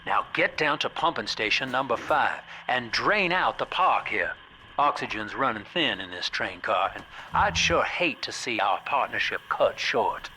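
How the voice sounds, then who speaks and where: A man's voice: mid-range voice, animated, a little slow, bright, forceful tone, a little hiss or hum, low-quality recording. A man playing a chummy, folksy, calculating business partner giving guidance in a video game. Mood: chummy, urging. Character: smooth, folksy male business schemer guiding a partner. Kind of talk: guiding radio message in a video game.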